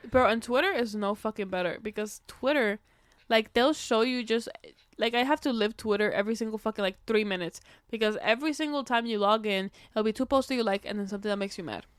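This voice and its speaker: A woman's voice: a little high, quick pace, clean tone, no room sound, studio quality. A woman co-hosting a podcast with blunt, slangy, profane banter and casual ranting. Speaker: podcast co-host.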